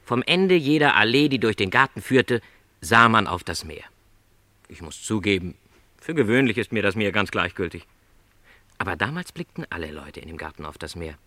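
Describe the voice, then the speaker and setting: A man's voice: fairly low pitch, bright, forceful tone, dry recording. An actor playing a lonely, dreamy middle-aged travelling salesman in a radio drama, speaking in reflective, rhapsodic literary phrases. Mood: insistent, dreamy.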